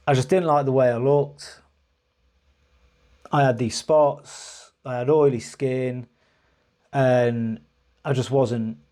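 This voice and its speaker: A man speaking to camera in a loose, rambling way, often stopping and restarting his sentences. His voice sits at a middling pitch with a dark, mellow tone. He sounds earnest and a little frustrated. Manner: rambling, hesitant, full of restarts and "sort of, like". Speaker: vlogger. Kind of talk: personal storytelling to camera.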